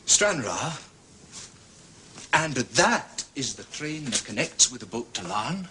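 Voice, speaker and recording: Lively Scottish police inspector. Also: male voice, mid-range voice, takes it slowly, a little hiss or hum, heavily acted. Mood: quietly confident. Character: methodical, proud small-town Scottish police inspector, a man. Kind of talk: British period detective drama acting.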